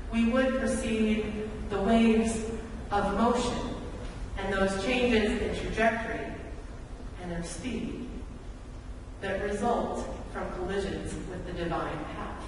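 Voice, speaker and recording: A woman in her thirties preaching a sermon from a church pulpit in measured, deliberate phrases with rhetorical repetition. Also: medium pitch. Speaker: pastor. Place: large church sanctuary, at the pulpit. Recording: echoey church hall, distant mic, background noise.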